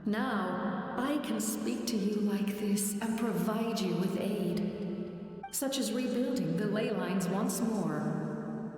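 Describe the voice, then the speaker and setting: Solemn, benevolent angelic lord for a game: unhurried formal delivery drenched in reverberant echo. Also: female voice, mid-range voice, darkish tone, faint music behind. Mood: solemn, self-sacrificing. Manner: stately, measured, formal. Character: ancient, solemn angelic spirit, benevolent and regal. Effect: heavy echoing reverb. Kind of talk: dramatic video game voice acting. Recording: heavy echo, long reverb tail.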